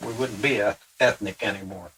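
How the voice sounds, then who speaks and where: A man's voice: lowish voice, brisk, pushed and bright, slightly rough. A man in casual conversation, plain-spoken with fillers and asides. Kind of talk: casual chat.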